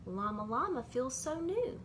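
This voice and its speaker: A middle-aged woman reading a rhyming children's picture book aloud in a measured, sing-song way, as bedtime stories from a bedroom. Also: sits high, very animated. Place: small indoor bedroom. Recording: clearly noisy amateur home recording.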